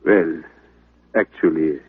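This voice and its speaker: An actor playing a nervous, evasive phony doctor in an old-time radio drama, fussy and mannered, stammering with false gentility. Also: male voice, very deep voice, slow pace, slightly rough, exaggerated voice. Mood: flustered. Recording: tinny vintage radio recording.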